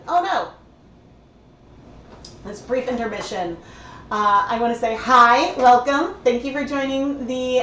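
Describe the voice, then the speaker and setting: A slightly hoarse woman hosting a casual livestream tutorial, chatty and thinking aloud with plenty of fillers. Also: fairly high pitch, a bit bright, slight background noise. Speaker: livestream host dyeing yarn. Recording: roomy sound from a distant mic.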